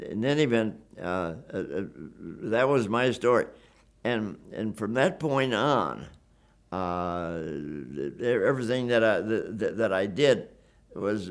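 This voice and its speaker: A man's voice: lowish voice, animated, unhurried. Earnest older man in his nineties. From Wisconsin, USA. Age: 90s. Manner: earnest, emphatic, repeats and restarts words. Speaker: WWII veteran interviewee. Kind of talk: reflective oral-history storytelling.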